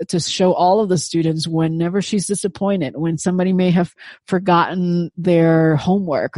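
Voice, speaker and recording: A woman's voice: lowish voice, mellow, no room sound, clean studio sound. A woman whose first language is Indonesian, telling a personal story in a reflective, conversational way with frequent "right?" asides.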